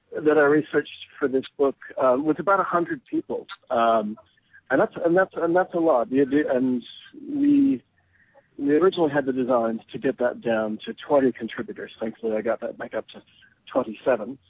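Gravelly man on a narrow phone-like line. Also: medium pitch, no room sound. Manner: lively, thoughtful, restarts phrases. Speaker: anthology editor. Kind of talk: radio interview answers.

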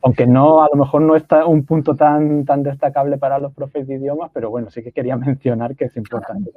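A man's voice: medium pitch, steady tone, fairly quick, no room sound. A man joins the conversation as a guest over a video call, his voice thin and phone-like with no room around it. He explains at length, stopping often on hesitant fillers before picking his thread back up. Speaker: guest, online course copywriter. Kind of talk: casual conversational interview. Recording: dry tinny call audio.

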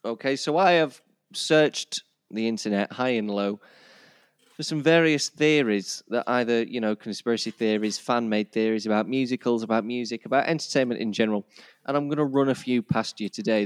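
This is a Yorkshire man with a middling pitch. He hosts a podcast in a casual, chatty style, and the sound is dry with hardly any room in it. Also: brisk, dry recording. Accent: Yorkshire English. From Yorkshire, England. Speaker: podcast host. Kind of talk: casual hosted chat.

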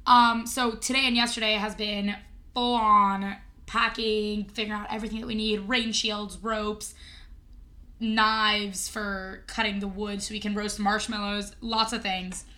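A woman's voice: medium pitch, little pitch movement, pushed and bright. A young woman co-hosting a podcast, bantering and teasing her friend in a casual chat. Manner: teasing, jokey, quick banter. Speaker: podcast co-host.

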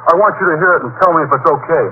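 A man playing a dim-witted, lovesick high school boy with goofy, ungrammatical speech in old-time radio comedy. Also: racing, natural voice. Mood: sheepish. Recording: dull, faintly noisy old radio recording.

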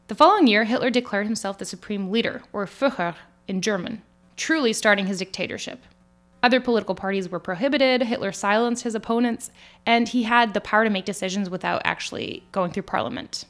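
A woman raised in France co-hosting a history podcast, giving clear, steady scripted narration in an explanatory tone. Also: medium pitch, fairly quick. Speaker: podcast co-host.

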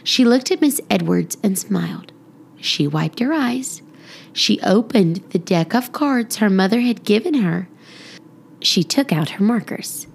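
A woman narrates a children's storybook in an expressive style. Her delivery is slow and very animated in pitch, with some creaky fry in the voice. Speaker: podcast storybook reader.

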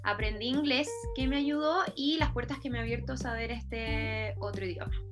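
A woman's voice: fairly high pitch, normal speed, music in the background. A young Chilean woman, a journalist and presenter, telling her story in a chatty way during an online talk. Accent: Chilean Spanish. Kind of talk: talk presentation on video call.

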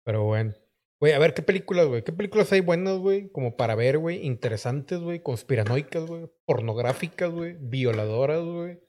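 A man's voice: fairly high pitch, expressive, fairly quick, a little mellow. A man with a Mexican accent hosting a live stream, rambling and joking crudely in slangy banter. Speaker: streamer host.

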